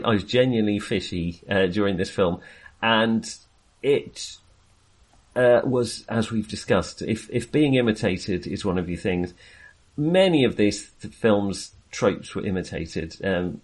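Guy chatting about films, bit husky, voice goes up and down loads, hesitant and wandering. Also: on the low side, animated, unhurried. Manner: hesitant, wandering, chuckly self-amused asides. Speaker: film podcast co-host. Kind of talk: casual film discussion.

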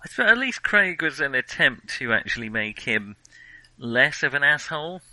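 A man's voice: mid-range voice, sing-song, normal speed, sharp tone, no echo, rough recording. A man co-hosting a film podcast, chatting casually in a wry, opinionated way. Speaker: film discussion podcast co-host. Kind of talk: casual chat.

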